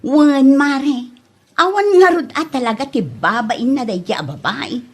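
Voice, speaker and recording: A woman's voice: high voice, expressive, a little slow, slightly rough, heavily acted. A woman acting a sassy, moralising village gossip in an Ilocano comedy drama, with an Ilocano accent and an emphatic, disapproving manner. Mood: confiding.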